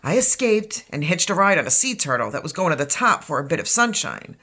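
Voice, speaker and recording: A woman's voice: low voice, sing-song, fairly quick, bright, forceful tone, heavily acted. A woman voicing an unflappable grandpa who tells a tall tale in a storybook. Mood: excited storytelling.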